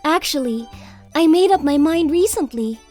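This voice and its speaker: A kind, eager high school girl for a manga cartoon. Her voice is extremely high, and she speaks with humble, apologetic politeness. Light music runs underneath. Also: expressive, moderate pace, a little mellow. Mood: polite, earnest. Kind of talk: manga video character dialogue.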